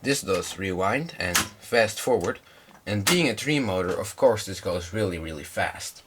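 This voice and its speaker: Young man, lowish pitch, slight cold, hesitant, informal hobbyist demo. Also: lively intonation, unhurried, background noise. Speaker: hobbyist presenter. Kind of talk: casual product demonstration.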